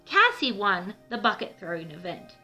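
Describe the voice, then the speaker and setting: A woman's voice: mid-range voice, sing-song, normal speed, bright, forceful tone, soft background music. A woman reading a children's storybook aloud, explaining things simply as the host.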